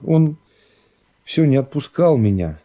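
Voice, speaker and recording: A young woman defendant played by a man testifies in a radio reading. The voice is high and soft, with plain, artless phrasing. She sounds simple and worn down, yet defiant. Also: very animated, slow, full character voice. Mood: weary, explaining. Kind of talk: dramatised literary reading.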